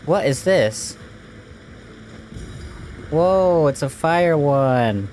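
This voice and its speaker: A man's voice: fairly high pitch, animated, very slow, a little mellow, a little hiss or hum. A man giving casual gameplay commentary, muttering his reactions as he plays. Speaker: gaming streamer.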